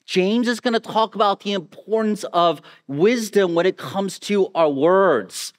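A man in his forties preaching a sermon as a pastor, measured and emphatic, repeating key phrases. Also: high voice. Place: on stage in a church auditorium. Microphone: headset mic.

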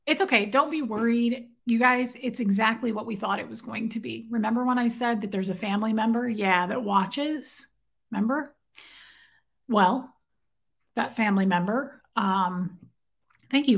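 Brief: woman, 40s, bright and forceful tone, a bit scratchy, chatty livestream host at a desk webcam in her living room. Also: a little high, a little slow, vocal fry, pauses often. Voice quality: slightly scratchy, strained throat. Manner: chatty, distracted, reads out viewer names. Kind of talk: casual livestream chat.

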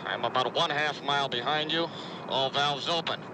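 An actor playing a strained but steady military pilot in mid-century TV drama style, giving clipped, urgent radio calls. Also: male voice, medium pitch, normal speed, background noise, natural voice. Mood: focused, clipped. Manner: clipped radio procedure talk.

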